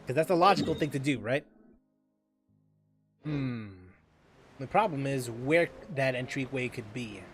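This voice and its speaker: A man giving casual gameplay commentary, thinking aloud and often repeating himself. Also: mid-range voice. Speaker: game streamer.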